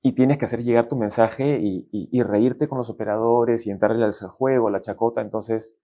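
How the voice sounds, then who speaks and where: A man's voice: mid-range voice, moderate pace. A middle-aged Peruvian man with a Peruvian Spanish accent, a guest executive telling stories in a casual, colloquial way. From Peru. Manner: relaxed, colloquial, acts out quoted dialogue. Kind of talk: casual interview storytelling.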